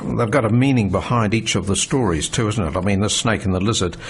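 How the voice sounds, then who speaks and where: Chatty husky male radio host. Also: fairly low pitch, fast. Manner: chatty, affirming, laughs easily. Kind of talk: casual radio interview.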